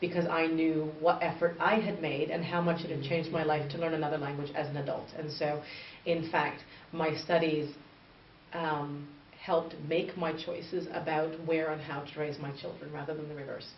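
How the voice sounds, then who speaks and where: A woman's voice: sits low, level tone, moderate pace. A woman in her thirties from northern Canada, a bilingual education expert answering interview questions in an earnest, explanatory way. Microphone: far from the mic. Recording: distant, echoey, muffled with faint noise.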